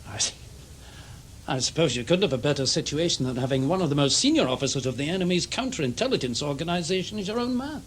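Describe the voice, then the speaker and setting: A man playing an irritable, stubborn intelligence chief in a radio drama. His voice is fairly high for a man and very animated, curt and full of authority. There is faint noise in the recording. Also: fast talker, natural voice. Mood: guarded, irritated. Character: guarded, stubborn, irritable senior male intelligence official.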